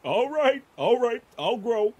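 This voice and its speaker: A man plays a big joker of a cartoon dog, full of teasing patter. The voice is husky and pitched high, bouncing around with animated swings. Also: slow pace, highs cut off, exaggerated voice. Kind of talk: cartoon character voice acting.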